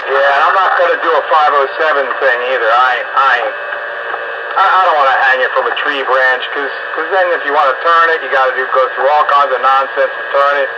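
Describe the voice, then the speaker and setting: A man's voice: mid-range voice, brisk. A man chatting casually about technical matters as an amateur radio operator, over a two-way radio. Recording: noisy, muffled two-way radio transmission.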